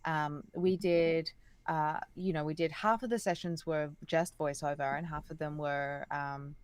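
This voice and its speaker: A deep-voiced Australian woman talks eagerly as an interview guest. She tends to pause and hunt for words. The sound is close and dry, with no room echo. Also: fairly even intonation, smooth. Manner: enthusiastic, chatty, searching for words. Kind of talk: casual interview chat.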